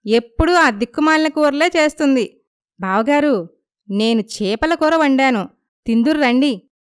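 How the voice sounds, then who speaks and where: A woman's voice: high-pitched, expressive, measured pace, no echo, studio quality, pitched above the performer's own voice. A woman voicing a coaxing, resentful younger village sister-in-law in a moral story, with a complaining manner. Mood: wheedling.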